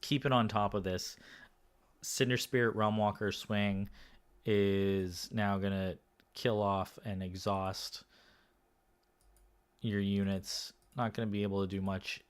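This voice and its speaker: A man with a somewhat low, slightly hoarse voice talks through a card game match by himself. He keeps it relaxed and loose, pausing often with "uh" and "um" and restarting sentences as he thinks aloud. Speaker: game commentator and podcast host. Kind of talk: solo casual match commentary.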